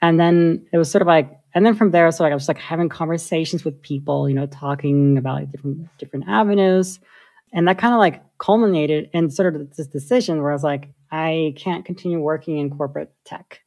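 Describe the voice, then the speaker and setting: A woman, a software engineer, talking through her ideas in a casual interview with lots of filler likes. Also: low-pitched, steady tone, fairly quick. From United States. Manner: thoughtful, many filler likes.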